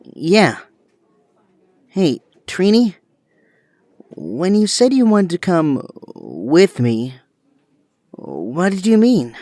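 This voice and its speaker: Man acting awkward young hero, swooping pitch. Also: wildly swooping pitch, warm tone, no echo, exaggerated voice. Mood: tentative. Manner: hesitant, slow, awkward. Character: awkward, earnest, brainy young male superhero ranger. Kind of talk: audio drama acting.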